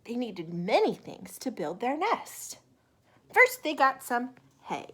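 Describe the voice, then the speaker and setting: A woman reading a children's picture book aloud in an expressive, deliberate way, with asides to young listeners. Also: on the high side, slightly rough. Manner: animated, deliberate, asks the listener questions. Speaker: children's story reader.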